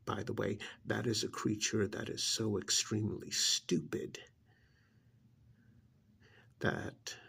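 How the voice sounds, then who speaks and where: A man playing a bitter, contemptuous alien creature posing as an uncle in an ASMR roleplay, with stern, emphatic, gravelly delivery that turns breathy at times. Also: fairly low pitch, little pitch movement, slow pace, pauses often, pushed and bright, full character voice.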